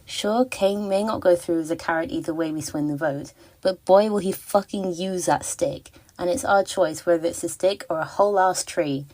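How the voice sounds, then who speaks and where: Woman leaving a fan voice message, lowish voice, wry n a bit dramatic. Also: moderate pace, a little mellow. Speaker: listener sending a voice message. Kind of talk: prepared fan commentary.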